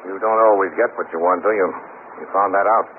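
Actor as a fast, deep-voiced tough police lieutenant. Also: male voice, fairly even intonation, close to an everyday voice. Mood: tough, pressing. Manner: blunt, hard-boiled. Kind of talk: 1950s radio police drama acting. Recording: scratchy, narrow-band 1950s radio recording.

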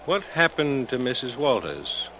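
An actor playing a cagey racetrack bookmaker in a 1940s radio crime drama. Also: male voice, medium pitch, very animated, measured pace. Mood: guarded. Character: cagey, slow-talking male racetrack bookmaker. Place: radio studio. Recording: noisy vintage radio recording.

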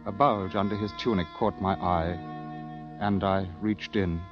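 A grave war correspondent recounts battle in a low, measured voice. It is a literary old radio-drama narration, muffled, with music clearly behind. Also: male voice, steady tone. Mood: somber. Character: somber male British war correspondent recalling a battle.

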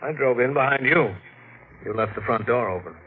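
A skeptical police detective in a vintage radio mystery. His mid-pitched voice is clipped and matter-of-fact. The old recording sounds dull. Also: expressive, brisk, breathy edge. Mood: businesslike. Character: blunt, skeptical male police detective questioning a widow. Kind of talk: 1940s radio mystery acting.

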